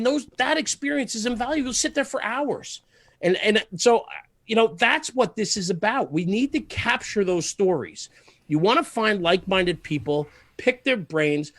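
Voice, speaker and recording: A man's voice: high voice, fairly bright tone, no room sound. A man speaking as a podcast guest in casual conversation, earnest and emphatic, repeating phrases to drive a point home. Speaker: podcast guest, fire service media host. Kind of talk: casual podcast interview, passionate monologue.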